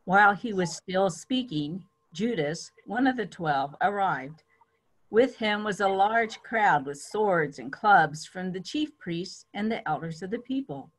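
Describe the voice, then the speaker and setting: A woman narrates a passage of scripture in a church dramatic reading. Her voice sits at a middle pitch. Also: animated, a little slow.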